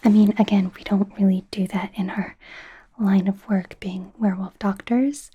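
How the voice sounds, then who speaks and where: A woman's voice: mid-range voice, steady tone, normal speed. A woman playing an eager, wry young werewolf medical student in a whispered ASMR roleplay, chatty and a little hesitant. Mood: pleading. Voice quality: breathy, soft whisper. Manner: chatty, hesitant, gently sarcastic, giggly.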